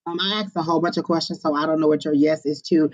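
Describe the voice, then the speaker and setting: Psychic medium on a live stream: chatty, exclamatory, halting with fillers; mid-pitched, dull lo-fi sound. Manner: expressive, exclaims often, halting with many fillers. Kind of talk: live-streamed reading, casual chat.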